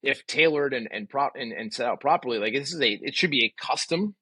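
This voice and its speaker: A man in his forties speaks as a podcast guest in a casual, emphatic way. His voice is bright and slightly rough, and he talks quickly. The sound is close and dry. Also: medium pitch, no room sound. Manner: opinionated, emphatic, uses rhetorical questions. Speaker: podcast guest, physical therapist.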